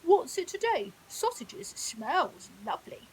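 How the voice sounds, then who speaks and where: A woman's voice: extremely high pitch, very animated, takes it slowly, voice pushed up, full character voice, rough recording. A woman voicing a big, friendly, cheery male hippo in a storybook, with a hearty informal manner. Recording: hissy background noise.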